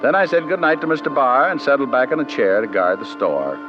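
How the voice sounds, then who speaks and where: An American man playing a wry, hard-boiled private detective in vintage radio drama style, with flippant deadpan delivery. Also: medium pitch, a little fast, natural voice. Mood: matter-of-fact narration. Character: wisecracking, hard-boiled male private detective narrating his case. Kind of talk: 1940s-50s radio detective acting and narration. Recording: dull vintage radio recording with music.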